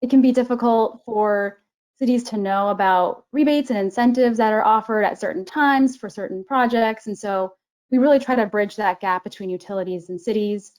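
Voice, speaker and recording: A woman's voice: mid-range voice, a little mellow. Woman explaining plainly, step by step. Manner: clear and organized, explaining step by step. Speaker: management analyst at a regional government council. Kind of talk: conversational interview answers.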